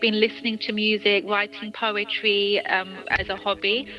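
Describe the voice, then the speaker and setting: A woman's voice: medium pitch, little pitch movement, moderate pace, muffled recording. A woman from the UK, a singer, talking casually about her music in an interview, with many restarts and frequent laughs. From United Kingdom. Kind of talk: phone interview, casual chat.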